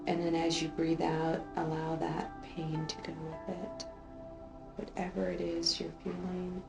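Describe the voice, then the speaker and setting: A woman leading an unscripted guided meditation in a soothing, drawn-out way, gently repeating phrases. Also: on the low side, completely flat delivery, gravelly. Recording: roomy echo, faint music underneath.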